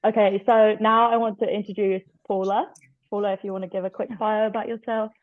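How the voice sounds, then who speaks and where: A woman's voice: medium pitch, flat delivery, fairly quick, no room sound. Woman hosting on tinny call audio. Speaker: meeting host. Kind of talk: hosting a video meeting.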